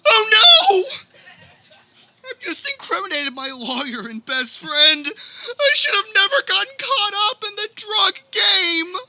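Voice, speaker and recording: A woman acts out a paranoid, hapless young man for comedic radio theater. The voice is high, sharply bright and creaky at times. The delivery is panicky and hugely melodramatic. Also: very animated, slow, no room sound, heavily acted. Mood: melodramatic wailing. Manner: hammy, panicky, overdramatic. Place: backyard, live performance.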